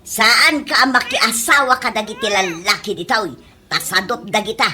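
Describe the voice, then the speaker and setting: A woman's voice: on the high side, moderate pace, sharp tone, a little hiss or hum. A woman playing a nagging, protective middle-aged mother in an Ilocano radio comedy drama.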